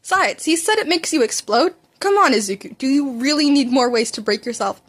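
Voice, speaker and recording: A woman's voice: high-pitched, expressive, fairly quick. A woman voicing a brash, irritable teenage boy with a blunt, scornful manner in a fan fiction audiobook. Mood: scolding.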